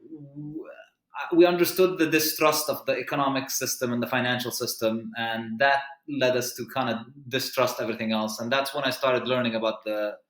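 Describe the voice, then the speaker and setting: A man's voice: medium pitch, moderate pace, a bit bright. A man who grew up in the Middle East talks as a physician guest on a podcast. He explains things earnestly and steadily, often stopping to restart a phrase. The room around his voice has a noticeable echo. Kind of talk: podcast interview.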